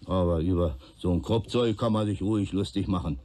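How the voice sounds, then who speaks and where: An actor playing a sullen, cynical arson suspect in a German radio play, grumbling in colloquial speech. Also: male voice, low-pitched, a little fast, mellow, lightly acted. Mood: cynical, aggrieved.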